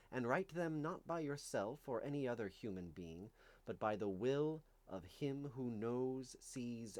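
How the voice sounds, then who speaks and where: A man's voice: mid-range voice, darkish tone. Solemn man giving a dramatic reading. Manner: solemn, declamatory, deliberate phrasing with dramatic emphasis. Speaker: reader of a religious text. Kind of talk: dramatic reading of medieval visionary scripture.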